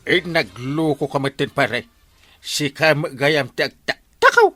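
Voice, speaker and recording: A slow, bright Ilocano villager. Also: male voice, on the high side, no echo, exaggerated voice. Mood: reproachful. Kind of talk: Ilocano radio comedy drama acting.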